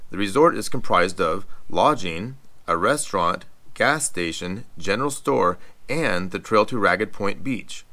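Bright-voiced man narrating a travel guide. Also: on the low side, measured pace, few pauses. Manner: even, informative guide delivery. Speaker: travel vlog co-host. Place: voice-over, not recorded on location.

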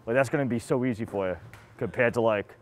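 A man's voice: mid-range voice, fast. A man with a Massachusetts accent coaching baseball catching drills in casual, encouraging, slangy bursts. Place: outdoor batting cage and bullpen.

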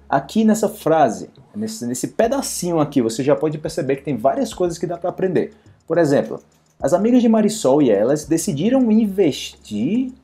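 A man's voice: fairly high pitch, warm tone. A Brazilian man in his thirties with a Brazilian Portuguese accent, an encouraging language teacher talking straight to learners and gesturing with his hands. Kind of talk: promotional video addressed to learners. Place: indoor room.

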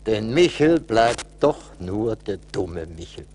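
An actor playing a stern but pitying ancient little forest spirit in a radio play, solemn and admonishing. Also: male voice, lowish voice, very animated, takes it slowly, darkish tone, full character voice. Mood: solemn, advising. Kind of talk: 1980s German radio play acting. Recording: faint hiss of old vinyl record.

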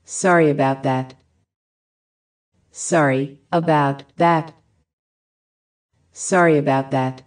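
A woman reading short English phrases for a language lesson, each one repeated with careful, even enunciation. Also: low-pitched, slow, long pauses. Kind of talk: slow repeated phrase drills.

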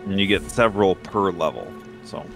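Low-voiced laid-back male gamer. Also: expressive, normal speed, gravelly. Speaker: gamer playing co-op. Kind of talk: casual gameplay chat. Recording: faint game music behind.

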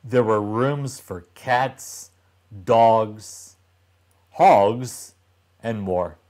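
An older man reading a children's story aloud with expressive, deliberate and emphatic delivery. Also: lowish voice. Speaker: storybook reader for young children.

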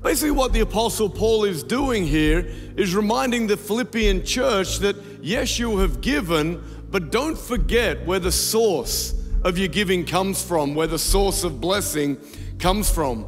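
A man's voice: high-pitched, expressive. A man in his thirties with an Australian accent, speaking to a large church from the stage with a handheld mic, telling a story and then praying over the offering. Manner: storytelling, then slow prayer. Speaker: pastor giving offering message. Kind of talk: church offering talk and prayer. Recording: reverberant arena with music.

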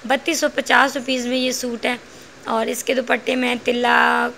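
A woman's voice: fairly high pitch, flat delivery, moderate pace, creaky, sharp tone, slight background noise. A woman, chatting through a shopping vlog in a clothing shop and listing prices. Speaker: vlogger showing clothes at a shop. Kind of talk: casual shopping vlog narration.

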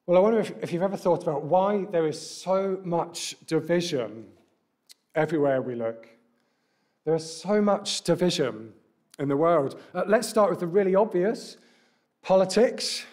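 A man, preaching a sermon at a lectern mic in a church hall, a little croaky from a cough, talking conversationally with rhetorical questions. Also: high-pitched, a little mellow. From England. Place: large church hall with brick wall, indoors. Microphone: stand mic at a lectern.

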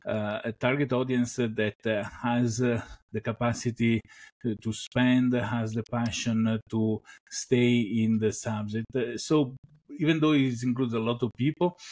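A middle-aged Italian man speaking English with an Italian accent as a guest on a video call, talking slowly with many pauses and "uh" fillers. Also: mid-range voice. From Italy (Venice). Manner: unhurried, many "uh" pauses, soft trailing phrases. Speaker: guest entrepreneur. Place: home room indoors. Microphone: headset with boom mic on video call.

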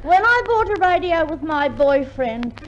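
A high-pitched, guileless comedy woman. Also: sing-song, measured pace, lo-fi sound, crowd noise. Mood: naive, theatrical. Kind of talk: 1950s radio comedy acting. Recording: 1950s radio recording, faint hiss.

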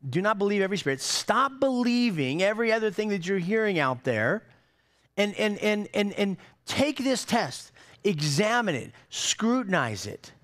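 A middle-aged man preaching a sermon on a church stage, animated, with many repeated words and restarts. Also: high-pitched, normal speed. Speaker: pastor.

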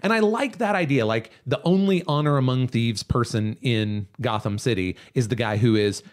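A man's voice: mid-range voice, moderate pace. A man hosting a podcast, talking casually about pop culture in an analytical, emphatic way. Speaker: podcast host.

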